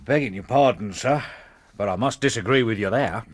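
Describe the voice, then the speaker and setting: An actor playing a polite but stubborn, sceptical British police inspector in a radio drama, plodding and deferential in manner. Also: male voice, on the low side, a little fast, close to an everyday voice. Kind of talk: BBC radio drama acting.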